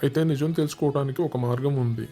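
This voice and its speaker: An actor playing a proud king in a cartoon, speaking in a formal, measured way. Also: medium pitch, fairly even intonation, warm tone, slightly rough. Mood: curious, deliberate. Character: proud adult king, decisive, later remorseful. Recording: music under the voice.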